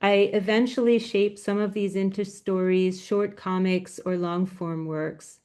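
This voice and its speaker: Soft-spoken woman presenting over a video call. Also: mid-range voice, fairly even intonation, measured pace, smooth. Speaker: guest speaker, graphic novelist.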